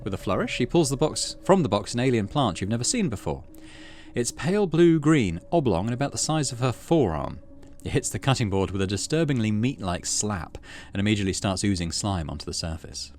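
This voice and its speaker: Low-voiced man with lively intonation. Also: a little fast. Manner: conversational, musing. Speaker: gaming video host. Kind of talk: casual playthrough commentary and narration.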